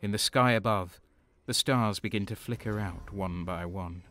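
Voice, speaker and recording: An actor playing a grim, battle-worn traitor soldier, narrating in terse, intense first person for an audio drama. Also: male voice, fairly low pitch, normal speed, sharp tone, soft background music. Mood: ominous.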